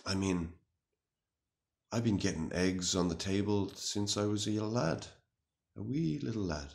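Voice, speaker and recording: A man in his thirties playing an anxious, earnest, melodramatic self-styled warrior in a halting, mock-serious monologue to camera. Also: lowish voice, vocal fry, darkish tone. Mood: grave, bewildered. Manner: halting, grave, deliberate delivery with long pauses. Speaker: comic video responder. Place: small room indoors.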